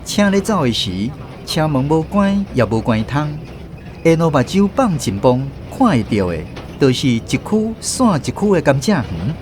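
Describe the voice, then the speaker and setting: A man with a Taiwanese accent narrating a radio novel in an expressive storytelling manner. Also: fairly high pitch, measured pace, slight background noise. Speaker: narrator and host.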